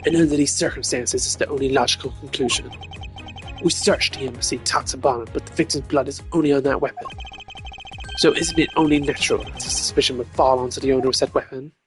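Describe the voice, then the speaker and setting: A man's voice: mid-range voice, steady tone, quick pace, faint music behind, natural voice. A man voicing a proud, sardonic video game investigator who is blunt and challenging. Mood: insistent. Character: proud, taunting male investigator, protective of his men.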